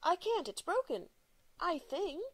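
A man's voice: very high voice, sing-song, a little slow, fairly bright tone, pitched above the performer's own voice, full character voice. A man voicing a young woman, the long-lost daughter of an alien traveler, speaking through emotion. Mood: unsure. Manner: emotional, choked-up.

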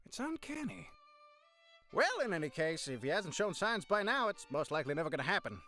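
Man as a high-voiced, tactless quack doctor. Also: big pitch swings, brisk, bright, forceful tone, faint music behind. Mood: chipper, tactless. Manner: folksy, smug, oblivious. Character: quack older male doctor, breezy and tactless. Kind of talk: anime parody dub acting.

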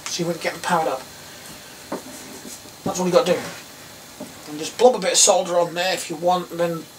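A middle-aged man with an English accent gives a casual hands-on tutorial, rambling and thinking aloud in a small indoor workroom. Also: high-pitched. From England. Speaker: host of a DIY electronics video. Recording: distant mic, some background noise.

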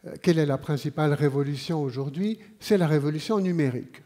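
A man in his sixties with a Swiss French accent lectures from an auditorium stage. His voice sits a little high for a man yet sounds mellow and warm. He talks slowly and deliberately, pausing often and filling the gaps with hesitations. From Switzerland. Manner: measured, unhurried delivery with frequent pauses and fillers. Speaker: conference speaker. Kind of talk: live conference talk.

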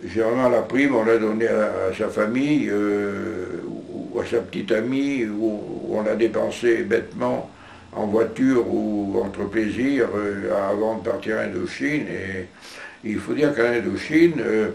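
A man's voice: on the low side, unhurried, creaky, a little mellow, roomy sound, a little hiss or hum. An older man recalling his past in an interview, hesitating often and restarting his phrases. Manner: hesitant, many fillers and restarts. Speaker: veteran interviewee. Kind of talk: recounting memories in an interview. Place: living room indoors.

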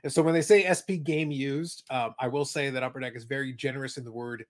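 A man co-hosting a casual podcast, talking quickly about trading cards with dry jokes, on a video call. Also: mid-range voice, fairly even intonation. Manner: quick, chatty, dry joking. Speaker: podcast co-host.

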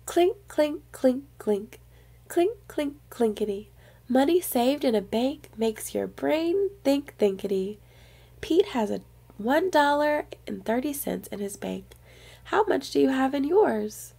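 A woman with a fairly high, very soft-edged voice reads a picture book to young children. She is expressive, as a storyteller speaking straight to her little listeners. Her tone is mellow and distinctly breathy. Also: slow.

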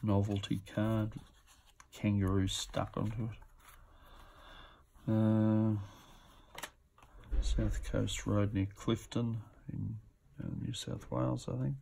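A man's voice: fairly low pitch, level tone, takes it slowly, vocal fry, long pauses, mellow, a little hiss or hum. A man giving casual, off-the-cuff commentary as he presents auction items, musing to himself as he goes. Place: indoors at a table.

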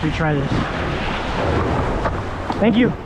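Excited man fishing by loud surf. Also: fairly high pitch, takes it slowly. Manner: excited, talks to the fish and the camera. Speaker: fishing vlogger. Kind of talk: casual vlog narration while fishing. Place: outdoors on a windy jetty by the sea. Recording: loud surf noise outdoors. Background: crashing surf and ocean wind.

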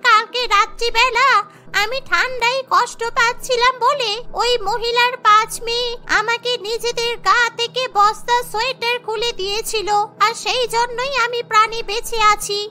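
A woman's voice: very high voice, pushed and bright, exaggerated voice. A woman voicing a frail, kindly, sorrowful elderly grandmother in a cartoon, speaking plaintively. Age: older. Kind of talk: Bengali cartoon story voice acting. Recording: background music under the voice.

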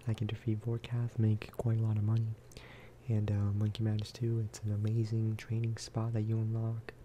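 A man giving a relaxed, casual ASMR gameplay commentary in a soft, breathy whisper, close to the microphone. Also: on the low side, normal speed, background noise. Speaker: video game streamer and host.

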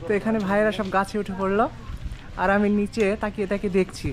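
A man's voice: sits high, flat delivery, fast talker. A man narrating a casual village walk vlog, chatting to viewers and asking locals questions. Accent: Bengali. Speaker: travel vlogger. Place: outdoors in a village. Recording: noisy outdoor recording.

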